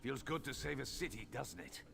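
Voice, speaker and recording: A man playing a resolute, gravelly-voiced soldier in command in a fantasy video game. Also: medium pitch, normal speed, fairly bright tone. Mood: grateful, resolute. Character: gravelly male soldier, grateful war commander.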